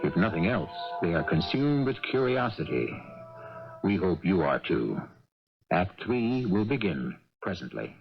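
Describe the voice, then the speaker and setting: A man hosting and narrating a radio mystery in a formal, theatrical scripted style with measured phrasing. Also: deep voice, sing-song, gravelly, faint music behind. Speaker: host and narrator. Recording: dull old radio broadcast.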